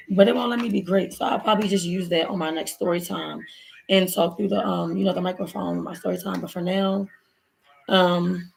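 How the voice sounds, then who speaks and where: A woman's voice: mid-range voice, creaky, a little hiss or hum, poor audio. A woman from Baltimore who has lost her voice, chatting casually through a story time for her viewers while doing her nails, recorded on a laptop mic at home. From Baltimore, USA. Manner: chatty, rambling, addresses viewers as y'all. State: hoarse, lost voice. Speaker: vlogger. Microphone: laptop built-in mic.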